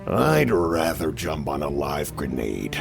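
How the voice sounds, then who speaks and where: An actor playing a contemptuous, hot-tempered older military general with a gravelly, raspy voice in an audio drama. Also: male voice, extremely low pitch, sing-song, takes it slowly, soft background music, heavily acted. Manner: sneering, blustering.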